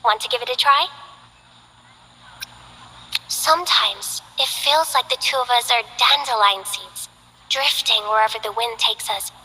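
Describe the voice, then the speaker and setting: Sweet young plant-loving girl for a game, high and bright, a bit breathy, soft and hesitant. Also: unhurried, long pauses, long reverb tail, heavily acted, poor audio. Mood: gentle. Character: gentle young female botanist, sweet and shy. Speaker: game character. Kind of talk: game voice lines.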